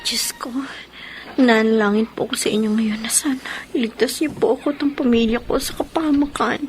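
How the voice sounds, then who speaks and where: A woman's voice: fairly high pitch, steady tone, brisk, soft background music, natural voice. A woman playing a frightened but resolute young witness in a radio drama. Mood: prayerful, afraid.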